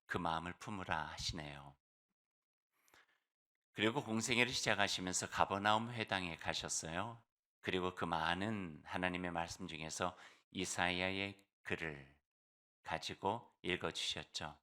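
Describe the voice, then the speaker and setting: A man preaching in a very low voice that still has a bright, forceful edge. He is hoarse from a cold. He talks to the congregation in an easygoing way, slowing down on key phrases. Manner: warm conversational preaching with fillers and slow emphatic phrases. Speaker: pastor. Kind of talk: sermon.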